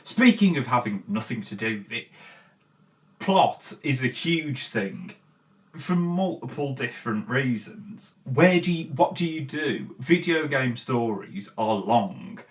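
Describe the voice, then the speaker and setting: A man's voice: medium pitch, lively intonation, slow pace. A man hosting a commentary show, talking casually and bluntly in an exasperated, sweary way. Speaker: host of a film commentary show.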